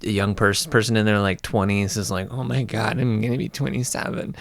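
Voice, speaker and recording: A man's voice: on the low side, fairly even intonation, brisk, creaky, no room sound. A man around forty chatting casually as a guest, reflective and playful in a rambling way. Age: 40s. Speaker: podcast guest. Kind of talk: casual chat.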